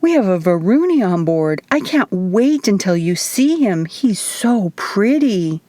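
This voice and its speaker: A squeaky, flighty female being from an audiobook, acted out by a woman narrator. She is bubbly and sassy, pitched high with girlish Valley Girl flippancy, in very dry sound. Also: big pitch swings, measured pace, dark tone, dry recording. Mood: excited.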